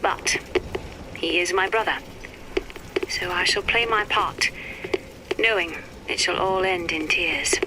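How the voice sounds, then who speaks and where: An actress playing a cool, erudite, wry and melancholy physicist in a game audio log, speaking in precise, measured, formal phrases. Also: female voice, lowish voice. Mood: resigned, pensive. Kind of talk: scripted dramatic audio-log monologue for a game. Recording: noisy, crackly vintage audio-log recording.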